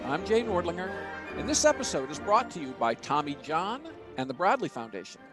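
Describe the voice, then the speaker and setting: A man hosting an interview podcast, chatty and quick to laugh. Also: sits high, sing-song, over music. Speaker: podcast host.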